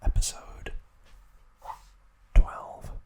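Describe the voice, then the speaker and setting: A man narrating in a hushed, breathy ASMR whisper, in short phrases with pauses. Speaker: ASMR host.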